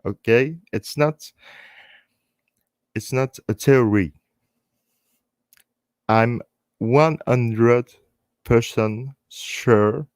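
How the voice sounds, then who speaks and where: A man with a French accent and French as his first language, hosting a live stream in halting English, speaking earnestly and unscripted in short phrases with repeated words. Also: on the low side, darkish tone, dry recording. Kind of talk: earnest unscripted talk to online viewers.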